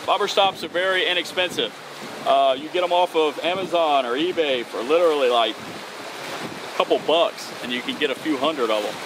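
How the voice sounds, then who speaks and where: A man's voice: on the high side, moderate pace. A man in his thirties hosting an outdoor fishing tutorial, talking casually and upbeat as he works with his hands on a bridge over open water. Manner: upbeat, casual, talks while tying knots. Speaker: fishing channel host. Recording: outdoor recording with wind and water noise. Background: wind and waves.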